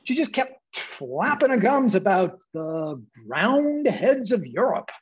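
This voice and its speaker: A husky 1920s cop in a radio drama, played by an actor, worn down and pleading. The sound is dull and lo-fi. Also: medium pitch, sing-song, slow, heavily acted. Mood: brusque, weary. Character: exasperated, pleading 1920s police officer. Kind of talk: radio play acting.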